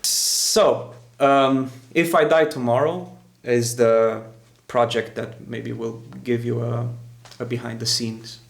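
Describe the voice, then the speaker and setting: A man with an average-pitched voice full of gravelly fry. He hosts in a casual, explanatory way as a producer, often pausing and repeating words while he searches for the next phrase. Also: fairly even intonation. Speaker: music producer and host. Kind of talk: casual explanatory talk to camera.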